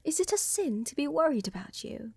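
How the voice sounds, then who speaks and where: A woman playing a tender, doting vampire countess in a soft romantic ASMR roleplay, gentle, reassuring and often whispering close to the microphone. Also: high-pitched, big pitch swings, creaky, no room sound, heavily acted. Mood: tender, attentive. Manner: gentle, reassuring, unhurried, affectionate. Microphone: close microphone, dry.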